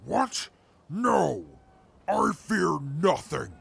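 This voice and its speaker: A man playing a proud, stubborn super mutant in a post-apocalyptic audio drama, gravelly and pompous in his formal wording. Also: high-pitched, sing-song, drawn-out words, fairly bright tone, heavily acted. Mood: indignant.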